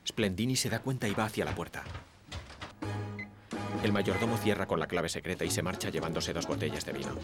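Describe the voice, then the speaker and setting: Very deep-voiced male description narrator. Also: fairly quick, long pauses, over loud music. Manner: calm, even and matter-of-fact. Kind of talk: scripted narration.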